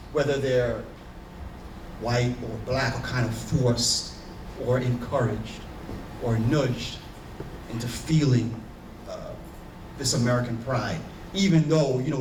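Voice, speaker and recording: A man's voice: medium pitch, a little hiss or hum. A man from Haiti with a Haitian accent, speaking as a panelist, earnest and searching, with many fillers and restarts. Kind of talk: reflective personal talk on a panel. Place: conference room. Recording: echoey room, voice from far off.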